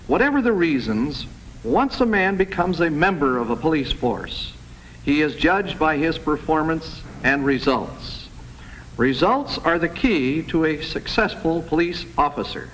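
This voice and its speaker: Man, fairly high voice, formal police chief's address, earnest, measured, lightly noisy 1960s film sound. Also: fairly bright tone. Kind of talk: formal prepared speech.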